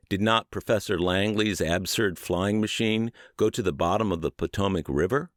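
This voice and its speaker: A man reading a book aloud as a scripted audiobook narration, with measured, emphatic and deliberate phrasing. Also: low-pitched, dry recording, clean studio sound. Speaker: audiobook narrator and podcast host.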